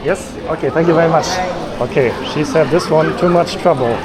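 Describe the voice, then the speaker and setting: A man's voice: fairly high pitch, a little fast, crowd noise. A man from Germany making a casual travel vlog, thinking aloud with fillers as he walks through an airport and then outdoors. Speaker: travel vlogger. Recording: noisy background.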